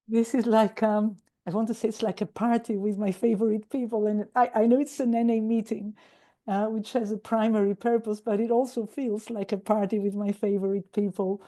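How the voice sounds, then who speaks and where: Soft-toned woman, slightly raspy from a cold. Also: medium pitch, little pitch movement, normal speed. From Greece. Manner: warm, earnest, with frequent hesitations and restarts. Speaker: guest speaker at a recovery meeting. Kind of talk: personal sharing talk.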